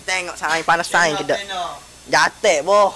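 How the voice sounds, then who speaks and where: A man's voice: fairly high pitch, a little slow, crowd noise. A young man with an east coast Malay accent, bantering casually as he hosts prank phone calls. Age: young adult. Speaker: prank call video host.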